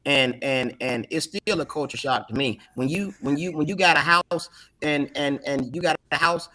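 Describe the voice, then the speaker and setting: A man's voice: fairly high pitch, normal speed, pushed and bright. A man from Tuscaloosa co-hosting a podcast, joking and teasing the guest and repeating words as he goes. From Tuscaloosa, Alabama. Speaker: podcast co-host. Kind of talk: casual banter.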